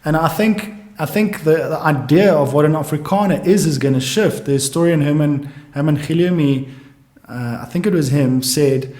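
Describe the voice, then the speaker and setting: Guy talking slow and thoughtful, kinda high voice, echoey room, stops and restarts a lot. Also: darkish tone. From South Africa. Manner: musing, thinks aloud in halting restarts. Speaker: guest economist. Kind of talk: casual podcast conversation.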